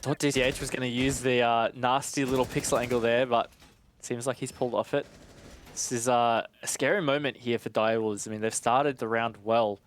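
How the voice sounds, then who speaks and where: A man giving measured, analytical live commentary on an esports match. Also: mid-range voice, fairly even intonation, a little hiss or hum.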